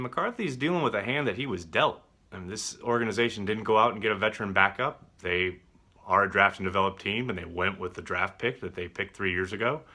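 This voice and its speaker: A man giving casual sports commentary as a show host, measured and conciliatory in how he responds. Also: sits low, sharp tone.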